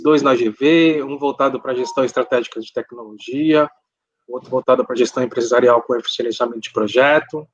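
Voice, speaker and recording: A man, introducing himself as a panelist in relaxed conversational talk. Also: medium pitch, dry recording, highs cut off. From Brazil, São Paulo coast. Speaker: panelist, tech manager. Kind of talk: self-introduction at a live online event.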